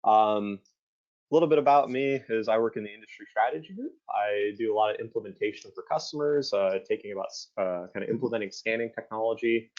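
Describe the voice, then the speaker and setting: Casual male webinar host, lower voice, lo-fi web-call sound. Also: a little fast. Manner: relaxed, casual, many fillers. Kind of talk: webinar hosting. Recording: muffled lo-fi online call audio.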